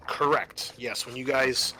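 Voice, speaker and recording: A man's voice: medium pitch, steady tone, measured pace, bright, forceful tone, rough recording. A man acting as game master, explaining a scenario and thinking aloud as he recalls the rules.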